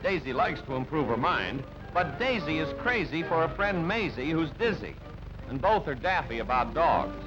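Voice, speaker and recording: Lively high-pitched male newsreel narrator, muffled. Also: moderate pace. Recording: band-limited old newsreel, music underneath. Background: background music.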